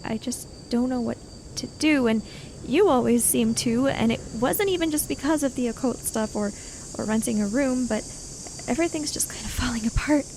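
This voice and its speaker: A woman playing a tabletop role-playing game, improvising narration and in-character speech in a halting, tentative way. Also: on the high side, a little mellow. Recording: noisy track with cricket ambience.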